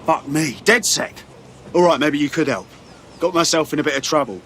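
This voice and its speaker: An actor playing a streetwise London resistance recruit with a British accent and colloquial, slangy speech in a video game. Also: male voice, fairly high pitch, moderate pace, a bit bright, a little hiss or hum, natural voice. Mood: bitter, wary. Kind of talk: video game cutscene acting.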